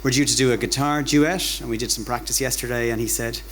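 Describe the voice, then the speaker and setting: A man's voice: mid-range voice, steady tone, fast talker, fairly bright tone, slight background noise. An Irish-accented man giving an informal public lecture in a conversational, wry way, often repeating words as he goes. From Ireland. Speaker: academic giving a public talk. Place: bar hosting a talk night.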